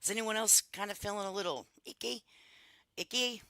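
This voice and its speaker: A woman hosting a casual livestream, congested and a bit raspy from a cold, chatting to her viewers like friends. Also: medium pitch, brisk, sharp tone, no room sound, studio quality. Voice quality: raspier than usual. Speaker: livestream host. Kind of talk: casual reaction livestream chat.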